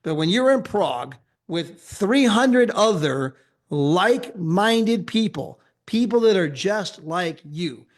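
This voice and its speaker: An upbeat American man with a fairly high voice pitches travel deals to a crowd of listeners. He talks energetically and keeps tossing in "right?" and "okay?" to hold their attention. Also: animated, slow pace. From United States. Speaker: travel club presenter. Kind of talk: sales pitch presentation.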